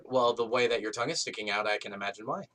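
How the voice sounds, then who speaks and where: A man's voice: on the low side, rapid-fire, fairly bright tone. A man in casual joking group chat, wry and foul-mouthed. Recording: distant mic, clearly noisy background.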